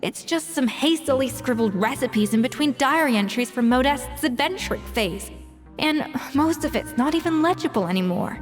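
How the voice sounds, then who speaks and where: A proud, self-assured chef with a slightly high voice and articulate, exacting delivery. She is caring beneath the composure. It is game acting, reverberant and set over music. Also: animated, moderate pace. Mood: troubled, reflective.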